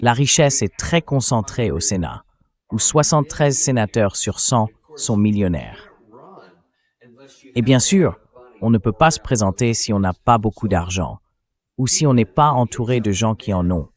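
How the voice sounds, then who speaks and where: A man's voice: fairly low pitch, expressive, unhurried. A man's AI dubbing voice delivering blunt, indignant, sarcastic political commentary. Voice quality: synthetic AI dubbing voice.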